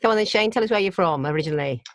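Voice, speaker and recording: A woman's voice: on the low side, expressive, very fast, no echo. A woman co-hosting a podcast in a casual, giggly way, encouraging the guest to talk. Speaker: podcast co-host.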